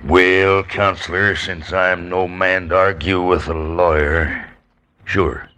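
A man's voice: low-pitched, level tone, slow pace, bright, forceful tone, exaggerated voice. An actor playing a big, mean, sly frontier gunman in a 1950s radio drama, drawling and sneering. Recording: noisy old radio recording, voice off-mic.

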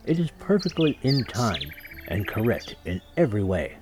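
A man's voice: fairly low pitch, sing-song, normal speed, background noise. A man voicing a fussy, self-important music master in a children's storybook, lecturing pompously. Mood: smug, insistent.

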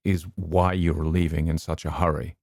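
A man's voice: a bass voice, little pitch movement, vocal fry, a little mellow, no echo, studio quality. A man doing a stern, dignified doctor. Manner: stern, level, measured.

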